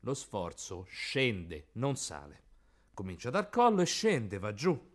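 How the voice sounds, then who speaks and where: A man's voice: on the low side, huge pitch swings, slow, exaggerated voice. An actor voicing a pedantic, exasperated know-it-all man in a radio play. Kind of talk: radio theatre acting.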